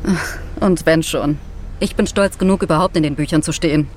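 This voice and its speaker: A woman's voice: low-pitched, brisk, a little mellow, faint music behind, natural voice. An actress playing a self-assured, dry-witted female Mars mission commander in a German sci-fi audio drama. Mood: dry, proud.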